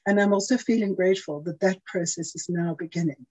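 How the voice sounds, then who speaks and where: A woman's voice: on the low side, fairly even intonation, a little fast, darkish tone, husky, poor audio. A woman giving an earnest, prepared service talk over a video call. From South Africa. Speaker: outgoing intergroup chair giving a service talk.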